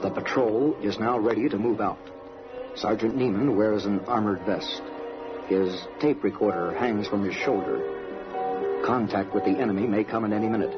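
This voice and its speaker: A man's voice: fairly low pitch, normal speed. An American man narrating a scripted 1960s radio documentary in a solemn, dramatic, declarative manner. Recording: dull vintage radio broadcast, faint music.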